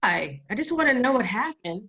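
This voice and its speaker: Woman acting an anxious, husky-voiced young girl. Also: fairly high pitch, sing-song, moderate pace, sharp tone, full character voice. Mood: anxious, insistent. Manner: blurting, insistent questions. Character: anxious, pushy young girl, eager and easily frightened. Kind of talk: screenplay table read.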